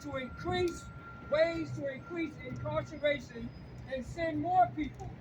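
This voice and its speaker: A man's voice: extremely high pitch, unhurried. An older man speaking at an outdoor protest rally, emphatic and repeating his lines for the crowd to echo. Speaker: rally speaker and campaign co-director. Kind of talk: impassioned protest rally speech. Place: outdoors on a city street. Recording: distant mic with loud street traffic.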